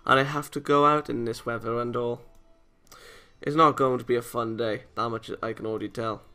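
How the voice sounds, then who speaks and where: A man reading a visual novel aloud as a let's-play narrator, expressive and theatrical, with game music behind him. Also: mid-range voice, fairly quick.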